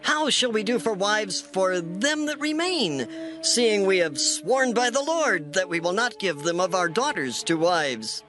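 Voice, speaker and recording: Very high-voiced, troubled Israelite. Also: male voice, big pitch swings, unhurried, over music. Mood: distressed. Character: troubled, earnest Israelite man swearing and lamenting. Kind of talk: dramatized scripture acting.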